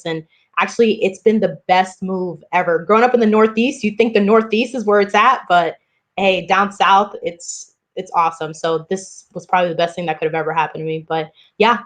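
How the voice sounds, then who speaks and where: A woman from the Dominican Republic, a podcast guest telling her story candidly with frequent ums. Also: lowish voice. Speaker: podcast guest, part-time real estate investor. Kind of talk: casual interview talk.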